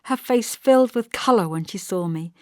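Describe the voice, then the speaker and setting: A woman's voice: mid-range voice, animated, normal speed, a little mellow, no room sound, studio quality. A woman reading scripted first-person literary narration as an audiobook narrator.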